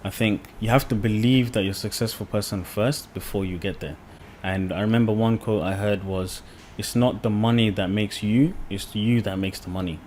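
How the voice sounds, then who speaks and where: A young man from the UK, a podcast guest, talking in a relaxed, rambling way with many fillers. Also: on the low side, moderate pace, few pauses, a little hiss or hum. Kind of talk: casual podcast conversation.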